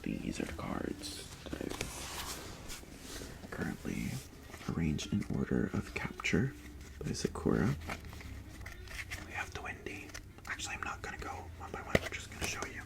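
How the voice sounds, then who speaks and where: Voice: a bass voice, huge pitch swings, a little slow, pauses often. A young adult Tagalog speaker, rambling in a chatty whispered ASMR chat in a bedroom, often breaking off mid-sentence. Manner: rambling, chatty, self-interrupting whisper with sudden breathy exclamations. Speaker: ASMR creator. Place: bedroom indoors.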